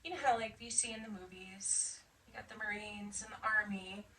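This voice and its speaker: A woman in her fifties with an American accent, chatting casually and haltingly as she tells her own story in an interview. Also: medium pitch, steady tone, pushed and bright. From United States, Nevada and Michigan. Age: 50s. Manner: chatty, often chuckling, halting. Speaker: interviewee, military veteran. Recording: distant mic, faint background noise.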